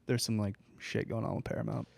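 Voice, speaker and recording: A man's voice: on the low side, steady tone, fairly quick, creaky, a little mellow, dry recording. A man co-hosting a casual comedic podcast, blunt and crude with opinionated jokes. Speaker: podcast co-host reacting to the story.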